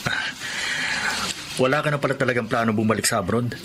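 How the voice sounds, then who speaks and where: Radio drama suitor, male actor: Filipino, middle-aged, medium pitch, persuasive and persistent, noisy track. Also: brisk, close to an everyday voice. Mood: coaxing.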